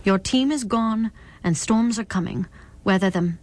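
A woman's voice: mid-range voice, measured pace, a little mellow, natural voice. Woman voicing a stern, otherworldly female prophet. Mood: solemn warning. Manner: admonishing, solemn, commanding. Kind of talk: dramatic book reading.

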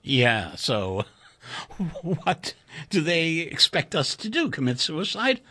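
A man's voice: a little high, wildly swooping pitch, slow, sharp tone, slightly rough, pitched above the performer's own voice, heavily acted. A man voicing a weary, sardonic male computer engineer who speaks in a dry, matter-of-fact way.

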